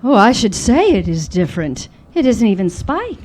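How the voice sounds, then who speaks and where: A woman playing a kind, lively young society lady in a live old-time radio drama. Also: mid-range voice, moderate pace. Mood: curious, kindly. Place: live performance in a hall. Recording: live stage recording with background noise.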